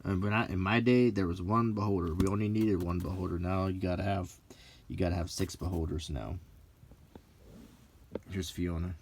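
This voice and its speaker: A man's voice: low voice, steady tone, normal speed, pauses often, a little mellow, a little hiss or hum. A middle-aged man chatting casually and unscripted as he browses books, with nostalgic, mildly grumbling asides. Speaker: vlogger flipping through game books. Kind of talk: casual unscripted chat, unintentional ASMR.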